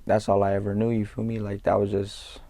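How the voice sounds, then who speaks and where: A young man from California, a guest in an interview, talking in a laid-back, halting way with street slang and lots of fillers. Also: fairly low pitch, completely flat delivery, dark tone, no echo. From Norwalk, California. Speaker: guest, rapper. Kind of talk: casual interview talk.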